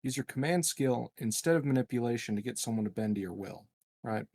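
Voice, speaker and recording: A man's voice: medium pitch, brisk, a little mellow, no echo. A man chatting casually as a player in a tabletop game session.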